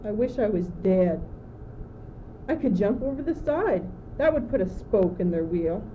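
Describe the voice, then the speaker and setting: A woman's voice: mid-range voice, lively intonation, measured pace, mellow, close to an everyday voice. Teenage girl acting fretful courtier, muffled noisy recording. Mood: despairing. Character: gloomy, confused, doubting young courtier, drifting and fretful. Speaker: student actor. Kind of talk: amateur school radio play.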